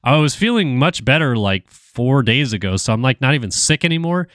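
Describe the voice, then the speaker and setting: A man's voice: medium pitch, sing-song, brisk, fairly bright tone, no room sound, studio quality. A man giving casual live commentary on a simulated motocross race, slightly nasal from a cold, with plenty of ums and uhs. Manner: casual, talkative, frequent fillers. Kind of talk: live sim racing commentary.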